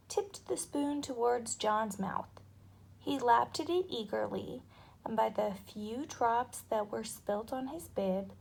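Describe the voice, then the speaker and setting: A woman narrating a children's story aloud with expressive, dramatic delivery. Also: a little high, vocal fry, slightly rough.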